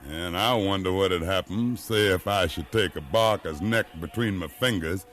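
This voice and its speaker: Deep, proud, defiant enslaved man. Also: a bit bright. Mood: bold, menacing. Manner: deliberate, firm, unintimidated. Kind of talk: 1950s radio drama acting.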